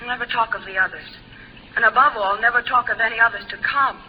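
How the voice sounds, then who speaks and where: An actress playing a cool, calm imaginary woman companion in a 1950s radio drama, speaking gently and with measure. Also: on the high side, fast talker, close to an everyday voice. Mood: gently wounded. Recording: noisy muffled 1950s radio recording.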